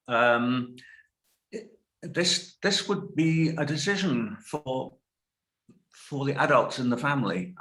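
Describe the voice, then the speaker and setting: Older man, lecturer, video call, thoughtful, hedging, mid-pitched. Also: a bit bright, low-quality recording. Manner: thoughtful, hedging, self-correcting. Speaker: guest lecturer answering questions. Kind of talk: academic Q&A over video call.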